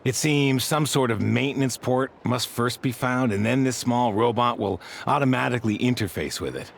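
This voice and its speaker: A man playing a calm, courteous adventurer in a video game, composed and thoughtful. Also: medium pitch, normal speed, creaky, a little hiss or hum, close to an everyday voice. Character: calm, courteous, observant adult male adventurer.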